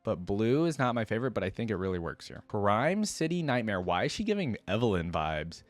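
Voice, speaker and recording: A man's voice: lowish voice, very animated, brisk, no room sound, soft background music. A man giving casual, unscripted reaction commentary as a video host, enthusiastic and rambling with informal, slangy wording.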